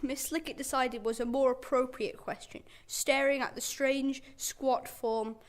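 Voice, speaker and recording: A woman's voice: high voice, fairly even intonation, measured pace. A young girl narrating a scripted story for a radio play. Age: child or young performer.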